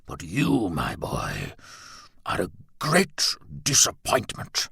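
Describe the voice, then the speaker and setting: A man's voice: low voice, huge pitch swings, takes it slowly, creaky, pushed and bright, breathy edge, full character voice. A man playing a blustering, pompous old colonel. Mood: stern, reproachful. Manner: indignant, formal, emphatic.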